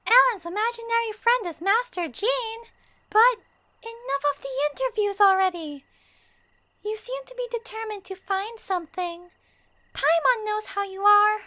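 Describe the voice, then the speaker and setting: A woman voicing a small, childlike fairy companion with a squeaky, exclaiming manner in a fan audio roleplay of a game. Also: normal speed, pushed and bright, dry recording. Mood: insistent. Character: small childlike floating fairy companion, chirpy, speaks in third person.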